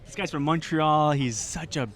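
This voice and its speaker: A man's voice: fairly high pitch, moderate pace, fairly bright tone. Laughing man telling a travel story. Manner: rambling storytelling with frequent laughs. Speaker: podcast co-host. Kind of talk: casual comedic chat.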